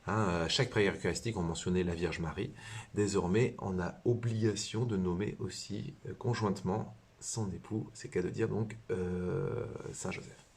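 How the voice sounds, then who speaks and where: Low husky priest guy from southern france, rambling and stopping and restarting a lot, chatty faith talk. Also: steady tone, moderate pace, a little mellow. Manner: hesitant, many fillers and restarts. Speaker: priest and religious brother. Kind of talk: informal spiritual teaching to camera.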